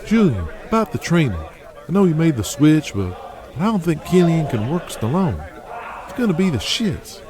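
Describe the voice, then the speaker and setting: A man's voice: on the high side, big pitch swings, moderate pace. A man playing an anxious, deferential backstage assistant to a wrestling boss in an audio drama, hesitant and fretful. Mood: worried. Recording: crowd noise behind the voice.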